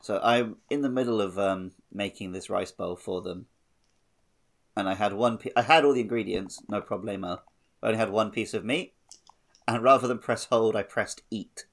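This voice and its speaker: A man's voice: fairly low pitch, normal speed, creaky. A man chatting casually and wryly as a gaming podcast co-host.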